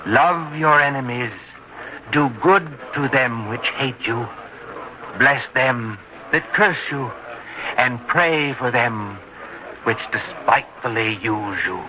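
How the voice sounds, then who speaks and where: An actor playing an earnest, devout neighbour in a biblical radio drama, telling things solemnly. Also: male voice, mid-range voice, slow pace, natural voice. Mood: solemn, reverent. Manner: solemn, earnest storytelling delivery. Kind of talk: old-time radio drama acting. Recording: lo-fi old-time radio sound, faint noise.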